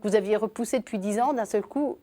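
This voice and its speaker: A woman's voice: mid-range voice, fast, warm tone. A woman hosting a TV interview, recapping her guest's career in long, guiding questions. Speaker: interview host. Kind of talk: TV talk show interview.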